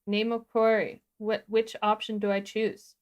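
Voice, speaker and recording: A woman with a medium-pitched voice co-hosts a live software tutorial. She is informal and instructive, talking through each step in simple terms as she goes. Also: little pitch movement, unhurried. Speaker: livestream co-host and software instructor.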